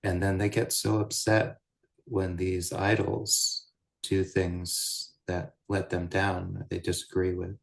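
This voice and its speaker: A man guiding a meditation as a teacher, speaking in measured, deliberate short phrases with pauses between them. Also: low-pitched, flat delivery, gravelly, rough recording. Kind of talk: guided meditation, unscripted Buddhist teaching.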